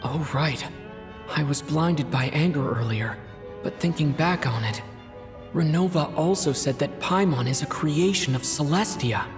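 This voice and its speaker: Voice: mid-range voice, unhurried, long reverb tail, over music, natural voice. A voice actor playing an earnest young traveler hero who speaks thoughtfully in a game cutscene. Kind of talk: video game cutscene voice acting.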